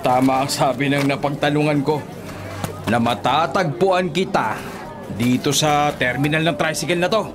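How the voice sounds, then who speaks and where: A man's voice: on the high side, moderate pace, background noise, close to an everyday voice. A man playing a drunken, belligerent grown son in a Filipino radio drama, confrontational and taunting. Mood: taunting, angry.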